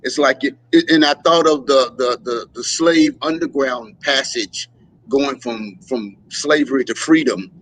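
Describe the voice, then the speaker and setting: A man in a relaxed interview telling stories with energy, often jumping into voices when he quotes people. His voice sits at a middle pitch. A faint hiss of noise runs behind him. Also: a little slow, low-quality recording. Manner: animated storyteller, acts out quotes. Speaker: musician guest. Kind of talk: casual interview chat.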